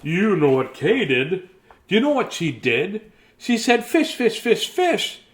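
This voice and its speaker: A man with a slightly high voice reads a children's story aloud. His pitch moves up and down in a very animated way. He is theatrical and playful, stepping out of the text for chatty little remarks. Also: unhurried. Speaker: storyteller reading children's books. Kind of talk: expressive bedtime story read-aloud with casual asides.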